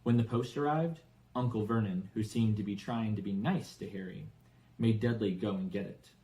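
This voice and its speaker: A man reading a children's novel aloud as expressive scripted narration. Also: fairly low pitch, normal speed, low-quality recording. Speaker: audiobook reader for English learners.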